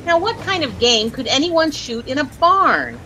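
An actress with an American accent playing a chirpy, easily dazzled young housewife who gushes and lightly scolds in a 1940s radio comedy. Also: female voice, high-pitched, sing-song, measured pace, sharp tone, exaggerated voice. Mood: curious, teasing. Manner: gushing, breathlessly admiring, lightly scolding. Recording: noisy old-time radio recording.

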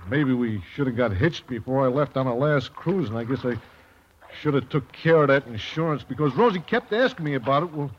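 An actor playing a simple, lovesick wounded sailor in an old radio drama, halting and slangy in a plain-spoken way. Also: male voice, medium pitch, lively intonation, lightly acted. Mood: wistful, defensive. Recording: dull, faintly noisy old radio recording.

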